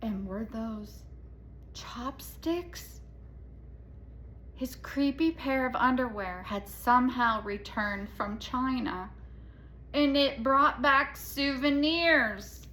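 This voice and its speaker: Echoey, dramatic woman reading a picture book. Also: high-pitched. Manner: dramatic, with hushed asides and pauses. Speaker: read-aloud storyteller. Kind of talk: expressive children's picture-book read-aloud. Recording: echoey room with faint noise.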